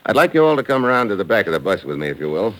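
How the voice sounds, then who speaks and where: An actor playing a cool, laconic, wry investigator in a 1950s radio drama, with a deadpan delivery. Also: male voice, on the low side, rapid-fire. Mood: cool, assured. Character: cool, wry tough-guy investigator hunting a culprit. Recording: noisy old radio recording.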